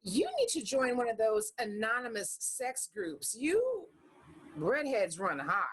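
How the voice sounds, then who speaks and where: A woman's voice: fairly high pitch, sing-song, a little slow, slightly rough, heavily acted. A woman acting a brash, blunt, sarcastic friend who snaps back, in a comedy script read over a video call. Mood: snappy, blunt. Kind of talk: live comedy screenplay table read.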